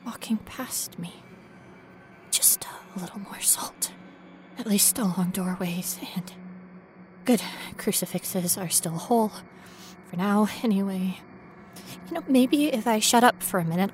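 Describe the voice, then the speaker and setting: A woman's voice: medium pitch, darkish tone, slight background noise. A woman playing a frightened, wry young ghost researcher in an audio horror monologue, rambling nervously to herself. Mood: nervous, rattled. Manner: rambling nervous self-talk, sardonic, often dropping to whispers.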